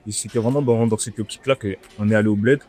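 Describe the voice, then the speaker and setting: A man's voice: fairly low pitch, fairly even intonation, fast talker, mellow, slightly rough. Laid-back young man chatting in a restaurant. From France. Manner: laid-back, slangy, repeats himself. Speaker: rapper guest. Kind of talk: casual interview chat. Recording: music playing in the background.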